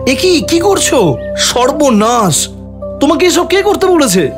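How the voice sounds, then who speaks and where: A man's voice: extremely high pitch, very animated, unhurried, music in the background, heavily acted. A man acting a fussing, doting young husband. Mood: alarmed. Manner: fussing, bantering. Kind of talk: audio drama acting.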